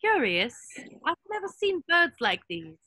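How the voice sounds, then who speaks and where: A woman's voice: high-pitched, very animated, unhurried, bright, forceful tone, exaggerated voice. A woman playing an anxious, curious schoolgirl witch in a screenplay table read.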